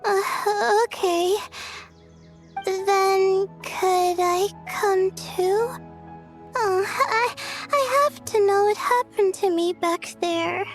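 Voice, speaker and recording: A woman's voice: extremely high pitch, extremely slow, full character voice. A woman playing a timid, tired, anxious young student in a video game, hesitant and apologetic, with music behind her. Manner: hesitant, soft-spoken, apologetic.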